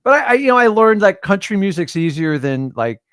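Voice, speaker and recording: A middle-aged man chatting casually as a host, rambling and animated, with lots of fillers and restarts. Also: high-pitched, brisk, clean tone, no room sound, studio quality. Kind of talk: casual chat. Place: studio livestream.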